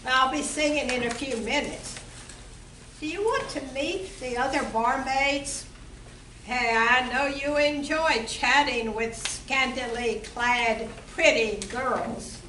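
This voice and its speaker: A woman plays a chatty barmaid wife in an amateur radio play. Her voice is high and bright, and she takes her lines slowly. The recording is distant and roomy, with some hiss. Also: full character voice. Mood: welcoming.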